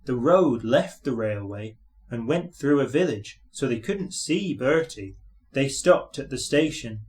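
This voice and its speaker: A man's voice: medium pitch, expressive, measured pace, darkish tone. A man reading a children's story aloud as scripted narration.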